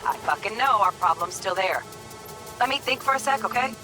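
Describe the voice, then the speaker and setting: An actress playing a curt, cautious, bookish hacker in a video game. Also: female voice, medium pitch, animated, brisk, over music, natural voice. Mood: rattled. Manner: curt, no-nonsense.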